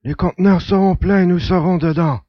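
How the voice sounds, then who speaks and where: A man's voice: high voice, fairly quick, no echo. A man playing a grumpy, sarcastic alien hunter in a science-fiction audio drama, growling with exasperation. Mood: exasperated, sarcastic. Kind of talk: French amateur sci-fi audio drama acting. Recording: slightly dull, dry recording.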